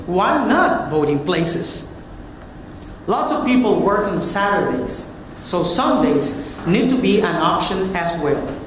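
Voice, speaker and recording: Man, witness, earnest formal testimony; distant mic, boomy hall. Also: a little hiss or hum. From Puerto Rico. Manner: earnest, reading a prepared statement. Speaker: hearing witness, military veteran. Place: large hearing room. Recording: echoey hearing room from far off.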